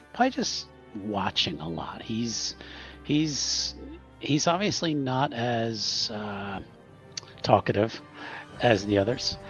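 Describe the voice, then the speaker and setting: Male tabletop player, hesitant and slow, lively intonation, dull recording. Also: on the low side. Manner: hesitant, halting. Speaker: player in a tabletop roleplaying game. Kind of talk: casual improvised character description.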